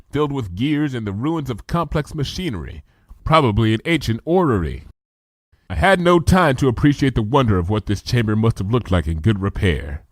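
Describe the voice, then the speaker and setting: A man's voice: mid-range voice, dry recording. A man reading a comedy adventure story aloud with vivid first-person action narration. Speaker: fanfiction reader.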